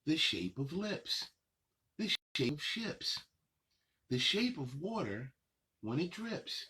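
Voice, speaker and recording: A man with a husky voice reads a picture book to children as a story time host. His pitch swings widely and playfully from phrase to phrase. He takes his time, stretching words out and chatting to the young listeners. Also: big pitch swings, pushed and bright. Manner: drawn-out, playful phrasing addressed to kids. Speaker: host reading children's picture books aloud.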